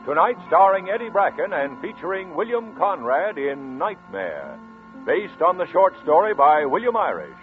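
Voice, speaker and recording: A man announcing a radio drama in a booming, emphatic style. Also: very animated, normal speed. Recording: tinny 1940s radio broadcast over loud music.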